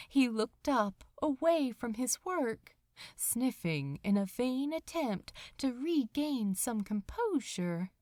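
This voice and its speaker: Slow, expressive woman narrator in a dry room. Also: a little high, no room sound. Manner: slow, expressive, dramatic storytelling. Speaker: audiobook narrator. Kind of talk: scripted fiction narration.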